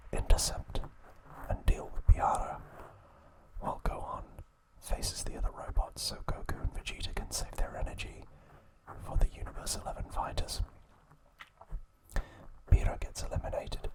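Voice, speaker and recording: Slow whispering man, ASMR recap. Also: low-quality recording. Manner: slow, unvoiced whisper with small slips and mispronunciations. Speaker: ASMR host.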